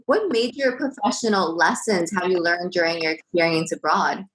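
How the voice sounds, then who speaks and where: A woman's voice: fairly low pitch, moderate pace, fairly bright tone, poor audio. A woman hosting a marketing podcast, asking her guest questions in an upbeat, eager way. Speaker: podcast host. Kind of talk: interview hosting.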